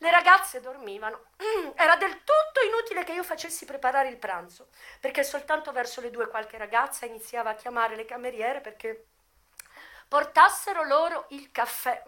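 Brazen, theatrical, very high-voiced brothel madam. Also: female voice, animated, pushed and bright. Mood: confiding, matter-of-fact. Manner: theatrical, drawn-out words, savouring lists. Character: brazen, worldly American brothel madam recalling her trade. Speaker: stage actress. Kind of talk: theatrical radio monologue. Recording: music playing behind the voice.

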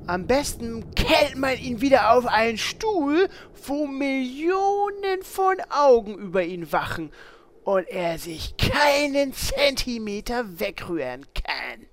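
A woman's voice: high voice, big pitch swings, slow pace, full character voice. A woman playing a sarcastic, resentful fantasy game adventurer in a comedy audio play. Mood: biting. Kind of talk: audio comedy parody acting.

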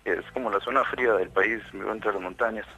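A man's voice: lowish voice, a little fast, bright, forceful tone, breathy edge, faint music behind, low-quality recording. A man with a Costa Rican accent, chatting casually as a guest, easygoing and a bit hesitant. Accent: Costa Rican Spanish. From Costa Rica. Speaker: guest on a phone call. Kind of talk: casual chat.

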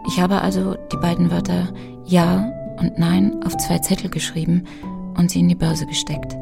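An actress playing a devoted, hesitant woman reading out an intimate love letter in a radio play, halting and partly whispering. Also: on the low side, flat delivery, gravelly. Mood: hesitant, pleading. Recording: guitar music behind the voice. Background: guitar music.

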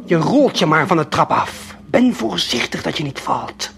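A man narrating an audio play in the second person, deliberate and suspenseful, speaking straight to the listener. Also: a little high, very animated, gravelly, fairly bright tone, husky. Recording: late 1970s vinyl LP production. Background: prominent music.

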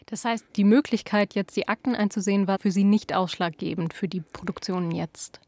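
A woman's voice: mid-range voice, fairly quick, a little mellow, dry recording. A woman interviewer putting prepared questions in a polite, formal way.

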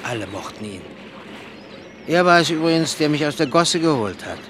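A man's voice: a little high, sing-song, moderate pace. A man acting in a radio drama as a frank, resigned former surgeon turned boatman, talking matter-of-factly. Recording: loud boat and outdoor background noise.

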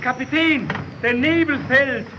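Eager ship's sailor, very high and insistent, measured pace, noisy vintage radio play. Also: male voice. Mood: urgent. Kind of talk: German radio play acting. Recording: muffled, noisy old radio recording.

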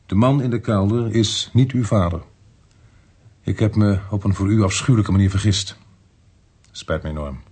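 An actor playing an apologetic police investigator in a Dutch radio drama, speaking in a measured, explanatory way. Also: male voice, fairly low pitch, warm tone, slightly rough.

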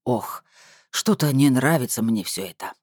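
A woman reader voicing a mighty, irascible male being with a rumbling, grumbling bass in a storybook. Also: animated, a little slow, a little mellow, breathy edge, dry recording, clean studio sound, heavily acted. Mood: brooding. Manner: grumbling, brooding.

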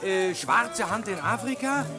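A shrill, gushing fan. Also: male voice, lively intonation, measured pace, music in the background. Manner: effusive, chattering. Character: gushing excitable male fan. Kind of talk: 1950s radio drama acting.

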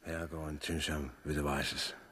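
An actor playing a chastened, plain-spoken man with a raspy voice in a 1960s radio drama. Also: rumbling low, flat delivery, bright, forceful tone, heavily acted. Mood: remorseful, tired. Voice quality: raspy, husky. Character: chastened, plain-spoken former raider, now an easygoing companion.

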